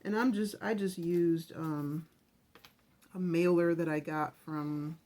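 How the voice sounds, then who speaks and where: This is a woman with a slightly low, scratchy early-morning voice, talking casually through a craft project. She chats and rambles, often starting a sentence over before she finishes it. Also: fairly even intonation, slow, dark tone. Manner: chatty, rambling, self-correcting. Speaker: craft channel host.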